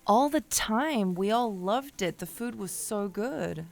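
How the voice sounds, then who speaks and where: An actress as a middle-aged mother in an audio drama, with plenty of creak in a mid-pitched voice. She is tender, supportive and reassuring. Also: animated, slow.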